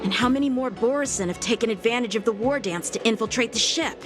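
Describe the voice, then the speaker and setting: A woman's voice: on the high side, fast, close to an everyday voice. An actress playing an earnest, dutiful and proud young boy lieutenant in a video game. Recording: music behind.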